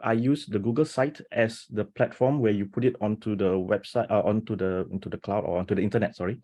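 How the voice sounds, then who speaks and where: A teacher on a video call webinar, speaking with quick, animated energy and plenty of restarts. His voice sits low and mellow, with a husky edge. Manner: animated, talks fast with many restarts and rhetorical "right?". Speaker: teacher presenting at an education webinar. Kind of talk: enthusiastic webinar talk.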